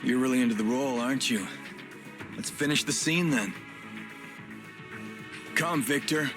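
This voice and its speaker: A man performs a theatrical tiger villain from a video game in a mid-pitched voice. He is smug and cruel, playfully grandiose, savouring every taunt. Loud music plays behind him. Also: normal speed, close to an everyday voice. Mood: theatrical resignation.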